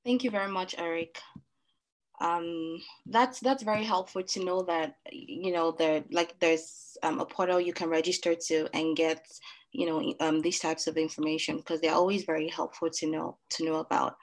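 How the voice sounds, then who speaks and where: A woman with a Nigerian accent moderating a panel discussion on a video call, talking in a relaxed way with many fillers. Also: on the low side, normal speed, poor audio.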